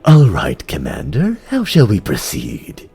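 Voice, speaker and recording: A man's voice: low voice, huge pitch swings, a little slow, warm tone, breathy edge, faint music behind, exaggerated voice. Man playing a formal insectoid vizier. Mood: expectant. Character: watchful insectoid vizier seer addressing his commander.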